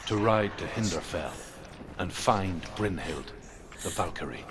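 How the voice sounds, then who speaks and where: An actor playing a solemn storyteller in a video game, telling Norse legends in a hushed, breathy, measured way. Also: male voice, low-pitched, background noise. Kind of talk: hushed myth narration.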